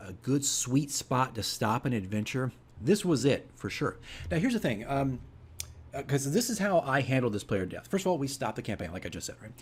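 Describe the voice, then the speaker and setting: Guy with a husky mid-pitched voice just talking thru his game master stuff, casual, emphatic, checks in a lot. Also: darkish tone. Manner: conversational, emphatic, often checks in with "right?". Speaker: dungeon master and video diary host. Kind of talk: casual explanatory monologue to fellow game masters.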